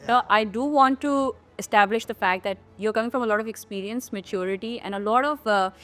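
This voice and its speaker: A woman's voice: a little high, fairly quick, fairly bright tone. A woman co-hosting a podcast interview, asking questions in a friendly, complimentary way. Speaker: podcast co-host.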